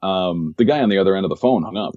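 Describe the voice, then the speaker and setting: A man, a podcast guest who works as a real estate agent, telling stories of his work in a measured way and often repeating words as he finds his phrasing. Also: low voice, animated, dry recording, narrow, tinny audio. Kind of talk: casual interview storytelling.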